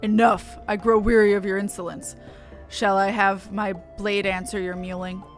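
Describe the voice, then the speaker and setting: A woman's voice: mid-range voice, flat delivery, soft background music, close to an everyday voice. A woman voicing a stern, proud beastman war leader in a game, speaking solemnly and formally. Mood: stern, impatient. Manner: solemn, slow, formal delivery. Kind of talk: reading game dialogue in character.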